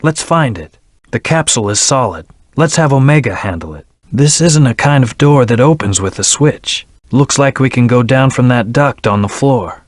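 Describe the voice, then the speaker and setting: A man's voice: medium pitch, expressive, moderate pace, no echo, studio quality, natural voice. A man voicing a brooding, terse hedgehog antihero in a video game, with a serious, clipped, commanding delivery. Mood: firm, intense. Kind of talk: video game character voice lines.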